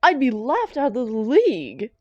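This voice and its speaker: A woman's voice: fairly high pitch, huge pitch swings, normal speed, no echo, muffled recording. A woman playing a theatrical female supervillain and doting, grumbling lover in a scripted audio roleplay. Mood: pleading, indignant. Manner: theatrical, affectionate pet names, exasperated protests.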